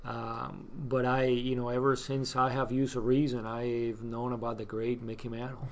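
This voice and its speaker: A middle-aged man from Cuba, talking in a relaxed interview. He hesitates often, with many fillers and restarts. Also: medium pitch, moderate pace. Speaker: guest, scouting volunteer. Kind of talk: casual interview chat.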